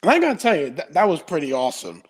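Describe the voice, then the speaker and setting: A man's voice: on the high side, very animated, rapid-fire, breathy edge. A young man chatting casually as an eager, agreeable live stream panelist.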